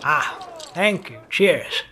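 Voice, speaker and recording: A man's voice: a little high, animated, extremely slow, echoey room, background noise. A man playing a weary, wry, hard-boiled 1950s police detective in a noir radio drama. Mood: world-weary musing.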